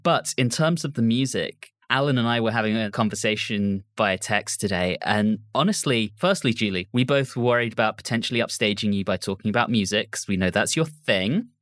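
Low-pitched man, casual podcast co-host. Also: expressive, a little fast, hardly any pauses, fairly bright tone, no echo, clean studio sound.

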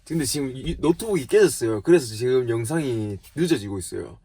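A young South Korean man in his twenties speaks with a regional dialect in a slightly rough, husky voice. He sits at an average pitch for a man. He chats casually and jokingly, putting himself down a little as he answers questions for his vlog. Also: lively intonation, brisk, mellow. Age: 20s. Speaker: vlogger answering viewer questions.

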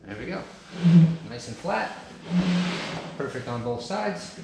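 A man's voice: medium pitch, big pitch swings, takes it slowly, creaky. Practical DIY host, man, 30s, talking through each step as he works; roomy, echoing home recording with noise behind. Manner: practical step-by-step, talks while kneeling and lifting. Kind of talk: casual how-to instruction while working. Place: large carpeted family room at home. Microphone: camera mic from a distance. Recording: echoey room, some noise.

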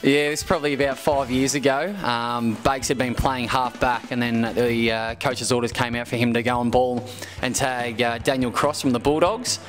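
A young Australian footballer tells a relaxed story into a handheld interview mic, with chuckling asides. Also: male voice, mid-range voice, moderate pace, hardly any pauses, faint music behind. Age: young adult. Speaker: footballer guest. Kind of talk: casual anecdote.

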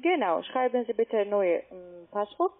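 A woman with a foreign accent, not a native German speaker, giving formal, halting instructions as a call-centre agent. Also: medium pitch, very animated. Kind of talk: phone instructions. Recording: muffled, tinny phone line.

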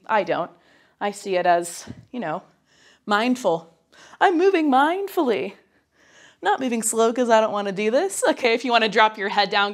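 A young woman in her twenties with a nasal, cold-stuffed voice, coaching a mat pilates workout while doing it herself, a little breathless, chatty and encouraging as she counts down. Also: mid-range voice. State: getting over a cold, slightly breathless from exercise. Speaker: pilates instructor. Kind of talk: guided workout instruction. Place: small home studio, indoors.

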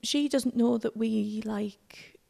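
Secretive, exasperated young daughter, awkward delivery, slightly high and croaky, audio play. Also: female voice, steady tone, a little mellow, exaggerated voice. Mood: nervous, hesitant. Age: young adult. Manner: hesitant, halting. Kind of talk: audio play performed on stage. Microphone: close stand microphone.

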